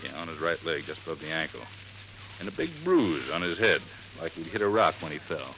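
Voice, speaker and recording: A folksy, rambling desert resort handyman in a 1950s radio drama, his voice sitting very low. He drops his g's and spins his story at his own pace. The recording is muffled, with a faint hiss underneath. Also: sing-song, brisk. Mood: earnest, folksy.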